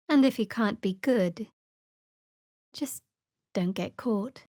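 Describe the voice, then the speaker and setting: Casting: young female dark cleric for a fantasy game. Fairly high voice, dry studio sound, guarded, measured, sardonic. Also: no echo. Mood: wry. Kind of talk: fantasy video game voice acting.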